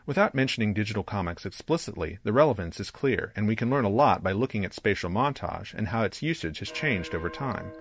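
A man narrating a scripted academic video essay in a measured, articulate, explanatory way. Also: fairly low pitch, lively intonation, slightly rough. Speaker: presenter, digital comics creator. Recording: music under the voice. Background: music.

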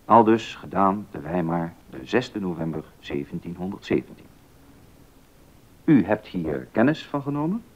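An actor playing a stern, officious court secretary in a radio docudrama, solemnly declaiming a formal proclamation. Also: male voice, deep voice, expressive, moderate pace, a little mellow, slightly rough. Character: stern, pompous male court secretary reading an arrest order. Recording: dull, muffled lo-fi recording.

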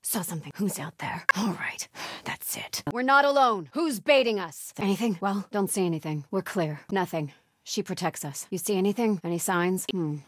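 A woman's voice: medium pitch, normal speed, no room sound, studio quality, lightly acted. An actress playing a grim, devout, alert female cult soldier in a video game, speaking in short clipped callouts. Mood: wary, hushed. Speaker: video game enemy character. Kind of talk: video game combat voice lines.

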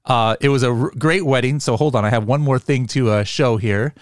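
A man's voice: medium pitch, a little fast, dry recording. Upbeat man hosting a podcast, dry studio sound. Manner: upbeat, chatty, joking. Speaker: podcast host. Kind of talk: casual interview chat. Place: home studio with headset and boom mic. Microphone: studio microphone on boom arm, headphones.

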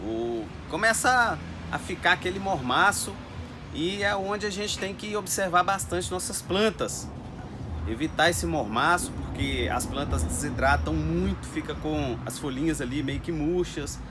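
A man's voice: a little high, expressive, measured pace, music in the background. A man, an orchid grower talking casually to the camera inside a greenhouse and often turning to address his viewers. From Brazil. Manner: chatty, keeps addressing viewers as "gente". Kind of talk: casual talk to camera with growing tips. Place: indoors in a greenhouse full of orchids.

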